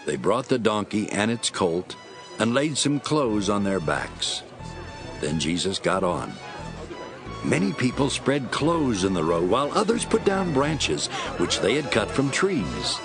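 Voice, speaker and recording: Expressive low-voiced male storyteller. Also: unhurried, creaky, music in the background. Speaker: narrator. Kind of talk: scripted Bible storytelling narration.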